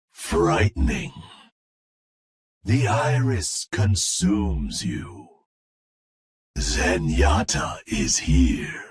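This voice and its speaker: Very slow, husky robot monk. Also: male voice, on the high side, dry recording, studio quality, full character voice. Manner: solemn, incantatory. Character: dark mystical male robot monk, ominous and calm. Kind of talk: video game hero voice lines.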